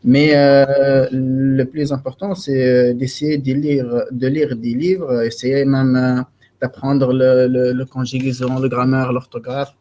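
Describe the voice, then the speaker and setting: A man, a student, gives advice in a deliberate way with fillers. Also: medium pitch, flat delivery, warm tone.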